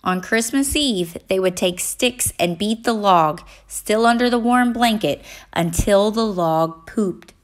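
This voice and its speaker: A woman reading a children's story aloud in an expressive, deliberate way for young listeners. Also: mid-range voice.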